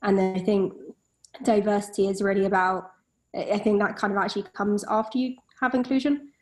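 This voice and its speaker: A woman's voice: medium pitch, little pitch movement, brisk, darkish tone. A woman co-founder on a panel, speaking hesitantly with many fillers. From Britain. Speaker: company co-founder, panel guest. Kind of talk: casual chat.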